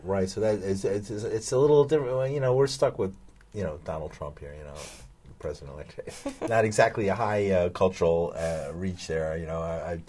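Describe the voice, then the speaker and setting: A man's voice: low-pitched, fairly quick, darkish tone. A middle-aged American man interviewing a guest as host, in a casual, rambling way. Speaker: talk show host, interviewer. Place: TV studio, seated indoors.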